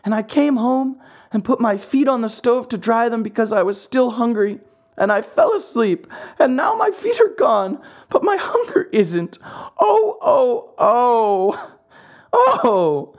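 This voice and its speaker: A narrator voicing a whiny, excitable wooden puppet boy in a children's storybook, fussy and full of complaint. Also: medium pitch, normal speed, voice pushed up. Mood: agitated, rambling. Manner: wailing, breathless childlike complaining. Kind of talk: children's storybook character acting.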